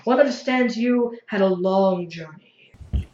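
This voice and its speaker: A woman's voice: medium pitch, measured pace, dark tone, roomy sound, dull audio, close to an everyday voice. A woman playing a grandiose, effusively polite but unrepentant revolutionary zealot in an audio drama, speaking in formal, stilted phrases. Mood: placating. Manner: formal, stilted, refers to self as "one".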